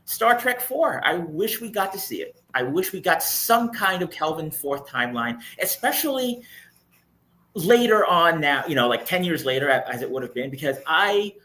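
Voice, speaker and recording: A man's voice: fairly high pitch, very animated, moderate pace, bright, forceful tone. A man chatting casually and with opinions as a panel guest, emphatic and often repeating his phrases. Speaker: guest commentator on a live show. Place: remote video call. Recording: noisy video call.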